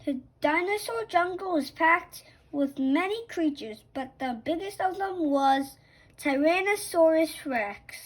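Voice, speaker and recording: Female storybook narrator for children: very high pitch, unhurried, a little halting. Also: animated, low-quality recording.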